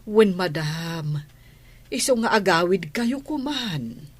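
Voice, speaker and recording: A woman playing a gentle, deferential household helper in a radio drama, hesitant and respectful, with a Filipino accent. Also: lowish voice, expressive, slow, slightly rough, dry recording, heavily acted. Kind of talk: Ilocano radio drama acting.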